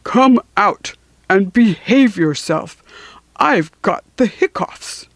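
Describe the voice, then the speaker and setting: Woman putting on a huge, slow, grumbling male whale. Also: mid-range voice, expressive, no echo. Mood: demanding.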